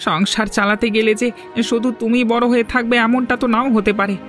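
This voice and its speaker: A man's voice: very high-pitched, steady tone, fast, fairly bright tone. A man voicing an earnest, protesting young farmer, a younger brother. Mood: aggrieved. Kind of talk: moral-story cartoon dubbing. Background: faint music.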